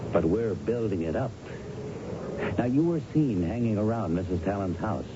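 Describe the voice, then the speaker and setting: An actor playing a shrewd, confident police lieutenant who presses a suspect methodically and knowingly, in old-time radio drama style. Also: male voice, lowish voice, animated, normal speed, close to an everyday voice. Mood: accusing, methodical. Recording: muffled, noisy vintage radio recording.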